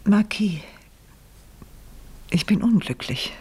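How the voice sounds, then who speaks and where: A woman's voice: medium pitch, animated, takes it slowly, warm tone, full character voice. An actress in a German radio play as a proud, wounded French noblewoman who speaks in formal, confessional phrases with feigned candour. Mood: anguished confession. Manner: theatrical, formal, breathy confessional delivery. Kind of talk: German radio play acting, period drama.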